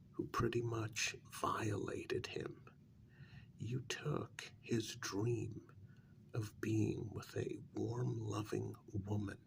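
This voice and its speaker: A man playing a blunt, exasperated confidant to a vampire in a soft-spoken ASMR role-play, breathy and half whispered, with a measured, admonishing manner. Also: fairly low pitch, heavily acted. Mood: earnest, reproachful. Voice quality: gravelly, breathy, near-whisper.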